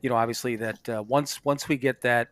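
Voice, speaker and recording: A man co-hosting a podcast, speaking casually and affirmingly in halting phrases. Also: medium pitch, steady tone. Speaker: podcast co-host.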